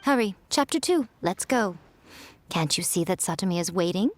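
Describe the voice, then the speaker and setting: A woman voicing a sly, elegant fox spirit who mentors with smooth, unhurried teasing. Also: on the low side, animated. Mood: instructive. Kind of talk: video game cutscene voice acting.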